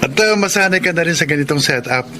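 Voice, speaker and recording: A man playing a courteous, smitten suitor in a Filipino radio romance, speaking gently and earnestly. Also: on the high side, lively intonation, fast talker, background noise, lightly acted. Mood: reassuring. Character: courteous, smitten older-brother-like male suitor.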